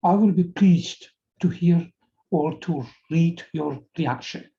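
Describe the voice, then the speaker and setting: An older Iranian man speaks English with a Persian accent, pausing often and repeating words as he goes. His voice is mellow and unhurried, very slow overall. The sound comes through a slightly dull video call. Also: a little high, creaky. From Iran. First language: Farsi. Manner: slow, halting and earnest, with frequent pauses and repeated words. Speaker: TV presenter. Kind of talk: personal address to viewers.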